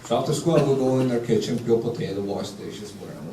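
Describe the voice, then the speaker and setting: Italian-accented chef in an echoey hall. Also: male voice, medium pitch, fast, dark tone. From Italy. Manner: direct, practical, with broken grammar. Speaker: executive chef on a panel. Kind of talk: panel discussion, advice. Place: large hall. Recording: echoey hall, distant mic, light noise.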